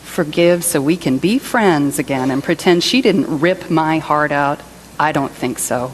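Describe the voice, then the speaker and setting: A woman's voice: low voice, measured pace, a little hiss or hum, rough recording. A woman performing a dramatic monologue as a hurt, self-pitying jilted partner, indignant and emphatic. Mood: defensive. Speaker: reader in a church service skit.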